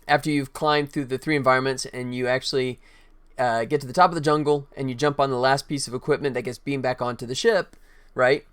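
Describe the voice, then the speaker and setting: A man's voice: mid-range voice, clear, resonant tone. A man hosting a casual game development livestream, chatty and informal, talking directly to viewers. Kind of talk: casual livestream chat, showing work in progress.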